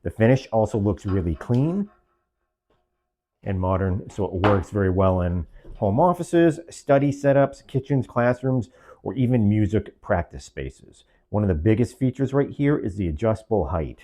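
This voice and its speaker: A man's voice: fairly low pitch, expressive, normal speed, dark tone. A man giving a semi-scripted product review, explaining features step by step in short phrases.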